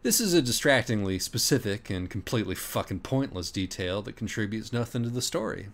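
A man's voice: lowish voice, animated, brisk. A man reading scripted comic narration as an audiobook reader, in a mock-dramatic, scornful, profane manner.

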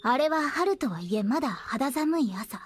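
Anime audio drama role: vain, whiny goddess, very high voice, wildly animated, haughty. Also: female voice, slight background noise. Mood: defensive, reminiscing. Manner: haughty, dramatic, quick to plead.

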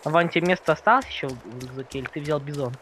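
A man's voice: a little high, rapid-fire, fairly bright tone, slight background noise. A man talking casually with his teammates as they play a game. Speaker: gamer in a group session. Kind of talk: casual gaming chat.

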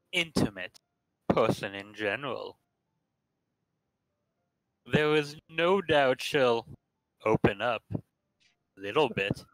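A man's voice: mid-range voice, a bit bright, dry recording, low-quality recording. Man narrating in a dry, close recording. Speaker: narrator in a staged reading. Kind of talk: casual dramatic read-aloud of visual novel narration.

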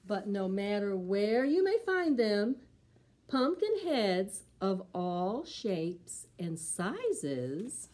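Expressive, mellow woman hosting children's storytime. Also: mid-range voice. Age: middle-aged. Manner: expressive, slow, sing-song delivery for young children. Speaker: library storytime host for preschoolers. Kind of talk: children's storytime, singing and reading a picture book aloud.

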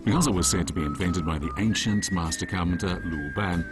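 A man reading scripted documentary narration in a measured, even way. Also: sits low. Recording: voice-over with music bed.

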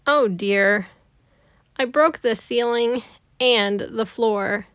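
A woman voicing a fussy, proper grown-up man, an easily flustered babysitter in a children's storybook, in a prim, drawn-out way. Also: a little high, a bit bright, dry recording, clean studio sound. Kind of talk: children's storybook read-aloud.

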